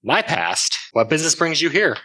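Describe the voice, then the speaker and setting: A woman playing a grumpy, bewildered old man in an audio drama, with gruff, blustering delivery. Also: low voice, sing-song, normal speed, fairly bright tone, full character voice.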